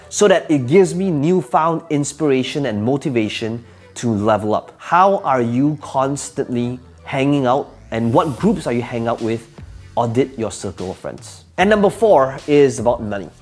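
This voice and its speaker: A man whose first language is Cantonese delivers motivational advice in a slightly husky voice at a middle pitch. He speaks emphatically, answers his own rhetorical questions and hammers home key phrases, with soft music underneath. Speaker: entrepreneur giving advice. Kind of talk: motivational talk to camera.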